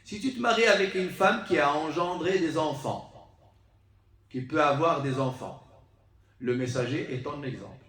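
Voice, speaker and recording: A man gives a mosque sermon in a fairly high voice. He is heard in a very roomy, echoing space, a little away from the microphone. His delivery is emphatic and rhetorical, leaning on repetition to drive each point home. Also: normal speed, long pauses, fairly bright tone. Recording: roomy echo, distant microphone.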